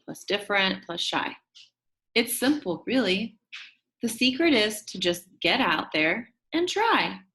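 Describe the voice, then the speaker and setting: Woman reading as a lively, expressive quirky school kid. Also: mid-range voice. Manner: expressive, chummy, dramatic emphasis. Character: Max, shy, quirky, upbeat school kid narrator. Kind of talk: first-person children's book narration.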